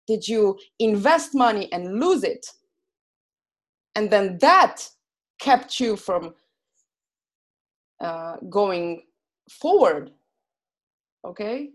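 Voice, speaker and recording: A woman with a Slovene accent, speaking as a guest coach on a podcast, excited and full of fillers and restarts. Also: mid-range voice. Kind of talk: enthusiastic podcast interview.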